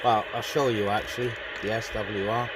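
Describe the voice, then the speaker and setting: A man's voice: lowish voice, level tone, moderate pace. A man with a British accent giving casual, informal running commentary while setting up radio gear. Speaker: CB radio hobbyist vlogger. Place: on a windy hilltop by a vehicle. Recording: windy, noisy handheld outdoor recording. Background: wind and radio noise.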